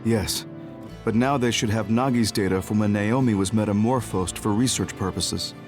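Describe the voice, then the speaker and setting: Man acting grave, low-voiced double agent. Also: music in the background, close to an everyday voice. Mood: serious, explaining. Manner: measured, serious, formal. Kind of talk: English video game dub acting.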